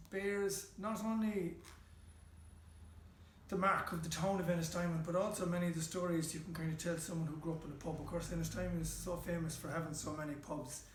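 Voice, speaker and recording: A male storyteller with a west of Ireland accent talks informally, as a guide on a literary tour would. His voice sits on the high side for a man. The sound is noticeably roomy, with clear echo around the words. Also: a little hiss or hum. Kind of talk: informal guided-tour talk with readings aloud.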